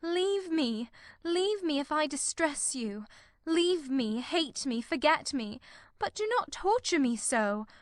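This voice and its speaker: A woman's voice: sits high, animated, takes it slowly, dry recording. A woman playing a heartbroken, wild young woman. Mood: anguished. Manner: pleading, sob-laden outbursts. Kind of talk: audiobook dramatization.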